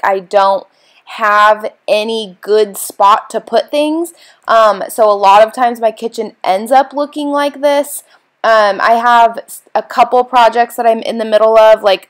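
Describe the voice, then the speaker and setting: A woman in her thirties talks casually to her viewers from her small home, rambling with lots of fillers. Her voice is of middle pitch, picked up by a camera set across the room. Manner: rambling, chatty with many fillers. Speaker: cleaning vlogger.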